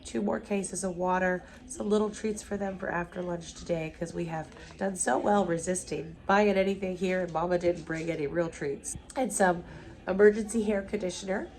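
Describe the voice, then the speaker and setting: A hoarse middle-aged woman, a mother vlogging casual commentary while cooking in a hotel room on her handheld phone. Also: lowish voice, fairly even intonation, normal speed, darkish tone. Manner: chatty, upbeat running commentary. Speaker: vlogger, mother of a large family.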